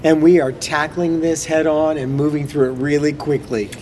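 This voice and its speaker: A man's voice: fairly high pitch, flat delivery, moderate pace, a little mellow, a little hiss or hum. A man, a theatre director, talking in an enthusiastic way in a casual interview.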